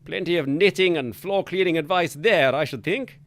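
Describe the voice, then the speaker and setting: A man's voice: on the high side, moderate pace, fairly bright tone. A man hosting a spoof 1940s wireless hour, his patter jolly and mock-pompous. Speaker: radio show host. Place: studio.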